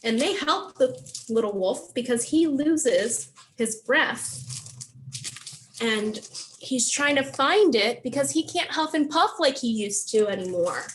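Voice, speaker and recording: A woman's voice: high voice, lively intonation, slow, slight background noise, rough recording. A woman hosting a children's story time, explaining songs and books in simple, encouraging language for preschoolers. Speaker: children's library program host.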